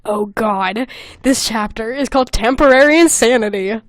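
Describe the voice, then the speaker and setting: A woman's voice: on the high side, normal speed, dry recording, studio quality. A young woman hosting a casual comedy podcast, reading aloud and commenting in a giggly, self-mocking, exclamatory way. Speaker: podcast host. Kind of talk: casual comedic chat, reading own old fan fiction aloud.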